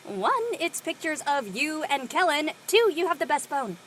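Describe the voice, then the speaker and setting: A woman acts out a cheeky teenage girl in a casual audio drama. Her voice is very high and animated, and the delivery is playful and joking. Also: normal speed, slight background noise. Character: Josie, cheeky, food-loving teenage high school girl. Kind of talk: conversational acting in an online audio play.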